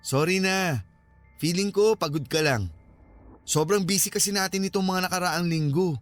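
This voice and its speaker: Man acting a high-voiced young vlogger boyfriend. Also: moderate pace, faint music behind, lightly acted. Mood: teasing, reassuring. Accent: Filipino. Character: young male horror vlogger, dismissive but caring boyfriend. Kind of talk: Filipino radio drama dialogue.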